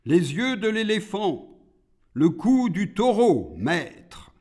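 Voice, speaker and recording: A man plays a proud, monstrous demon of a storybook poem, pitched well up from a plain reading voice. The delivery is slow and declamatory, swinging widely as it makes defiant, scornful demands. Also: big pitch swings, darkish tone, full character voice. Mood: demanding. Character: Iblis, proud rebellious male demon, monstrous forger.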